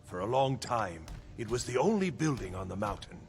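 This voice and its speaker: Pompous, animated castle steward. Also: male voice, lowish voice, moderate pace, creaky, over music. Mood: proud, courteous. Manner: pompous, formal, courtly. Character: proud officious male steward to a fantasy lord. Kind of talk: scripted video game dialogue.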